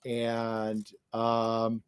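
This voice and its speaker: A man's voice: mid-range voice, completely flat delivery, drawn-out words, clean tone. A man co-hosting a casual livestream chat. He talks in a chatty, jokey way, often trailing off and restarting. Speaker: co-host.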